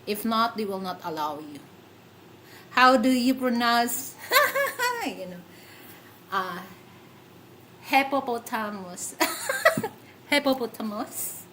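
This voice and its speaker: Slow-talking Filipina livestream host. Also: female voice, a little high, expressive, background noise. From Philippines. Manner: chatty, many fillers, frequent giggles. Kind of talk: casual livestream talk.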